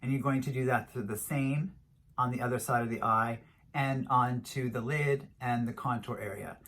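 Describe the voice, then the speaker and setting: Male beauty vlogger, middle-aged, medium-pitched, emphatic and repetitive in an informal advisory style. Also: steady tone, a bit bright. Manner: emphatic, repetitive, drawn-out stress on key words. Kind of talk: informal product review to camera.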